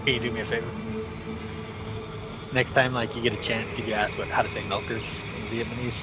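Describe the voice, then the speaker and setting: A man's voice: medium pitch, level tone, fast talker, music in the background. A young man streaming outdoors, chatting in a teasing, joking way, laughing often and restarting his words. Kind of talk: joking casual chat. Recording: noisy outdoor street recording.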